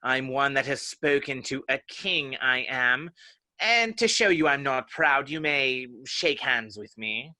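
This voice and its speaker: A man's voice: mid-range voice, expressive, unhurried, pushed and bright. A man playing a haughty, touchy, pedantic egg character in a dramatic reading of a children's classic. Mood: haughty, provoked.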